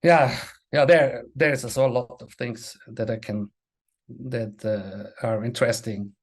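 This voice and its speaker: A man, a panel guest speaking English as an in a halting way, restarting and repeating words. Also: mid-range voice, fairly even intonation, husky. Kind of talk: casual webinar talk.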